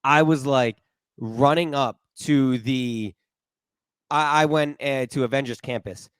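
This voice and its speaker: A man's voice: mid-range voice, slow pace, smooth, dry recording. A man in his late twenties hosting a podcast, talking in an enthusiastic, rambling and earnest way. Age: late 20s. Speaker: podcast host. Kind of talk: casual interview chat.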